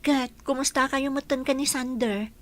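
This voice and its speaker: A woman's voice: high-pitched, steady tone, normal speed, natural voice. An actress with an Ilocano accent playing a chatty, admiring, envious woman back from abroad in a comedy radio drama.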